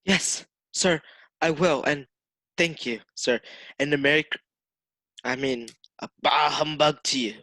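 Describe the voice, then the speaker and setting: A man's voice: fairly high pitch, unhurried, pauses often, bright, forceful tone. A man playing a meek, poor office clerk in a radio play, polite, hesitant and deferential. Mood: grateful, nervous.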